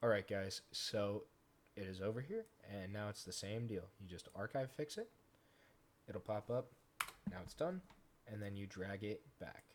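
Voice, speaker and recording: A man casually talking through a software tutorial step by step, in an informal way. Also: on the low side, lively intonation, moderate pace. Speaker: tutorial host, gaming content creator. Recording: noisy home recording with keyboard clicks.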